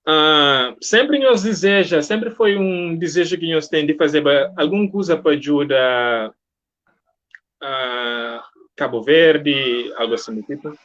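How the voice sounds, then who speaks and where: A man's voice: on the high side, slow pace, a bit bright. A man hosting a casual live-streamed interview, chatting with guests and viewers. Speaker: interview host. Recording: muffled, lo-fi online stream audio.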